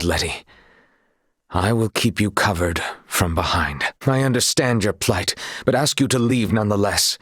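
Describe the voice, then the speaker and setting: Solemn, husky-voiced honourable knight. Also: male voice, deep voice, a bit bright, no echo, clean studio sound, exaggerated voice. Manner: formal, archaic courtly phrasing. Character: solemn honourable male former sea knight, remorseful, dutiful. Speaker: video game voice actor. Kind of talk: dramatic scripted game dialogue.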